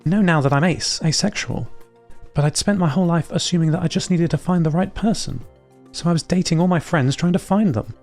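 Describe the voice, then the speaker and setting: A man's voice: a little high, fairly quick, a little mellow, soft background music, pitched above the performer's own voice, natural voice. A man voicing a shy, awkward woman in audio fiction, earnest, apologetic and confiding. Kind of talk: audio fiction character dialogue.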